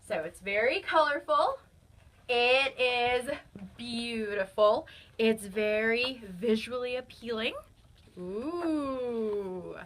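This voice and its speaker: A woman's voice: fairly high pitch, lively intonation, takes it slowly, fairly bright tone, recorded from a distance. A young woman recommending fairy tale books in a casual, enthusiastic, chatty way. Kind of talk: casual book recommendation video.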